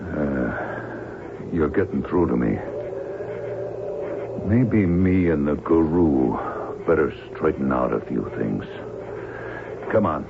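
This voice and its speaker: A man's voice: a bass voice, lively intonation, takes it slowly, vocal fry, long pauses, full character voice. An actor playing a tough, cynical hired gunman in an old radio drama, talking in blunt, folksy slang. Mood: casual. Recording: tinny band-limited old radio recording, faint noise.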